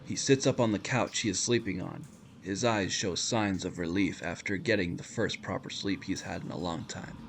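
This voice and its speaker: A man's voice: low-pitched, moderate pace, creaky, a little hiss or hum. A man narrating a scripted audio drama in descriptive storytelling prose. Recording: loud music under the voice.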